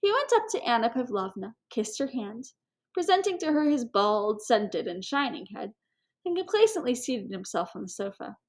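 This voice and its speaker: A woman's voice: fairly high pitch, big pitch swings, moderate pace. A woman narrator reading a novel aloud in a scripted storytelling style. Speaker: reader, narrator.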